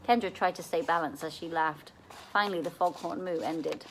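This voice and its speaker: A woman's voice: lowish voice, normal speed, slight background noise, poor audio. Woman reading a children's story aloud. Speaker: reader at a library read-aloud. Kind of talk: children's novel read aloud, expressive.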